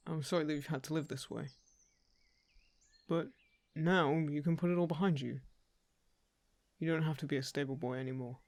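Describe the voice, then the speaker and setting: A man playing a polite, earnest royal envoy in a fantasy audio roleplay, speaking in a hesitant, gently persuasive way. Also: fairly high pitch, creaky, no room sound. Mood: concerned, probing. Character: polite, earnest male royal envoy seeking a lost heir.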